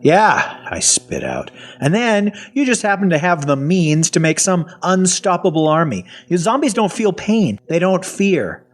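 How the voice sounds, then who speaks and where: A man's voice: sits high, very animated, unhurried, few pauses, heavily acted. An actor playing a wry, cynical half-zombie man in an audio fiction drama, telling his own story in a sardonic, colloquial manner. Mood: defensive, sardonic. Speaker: voice actor and narrator. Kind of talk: first-person audio fiction narration and dialogue.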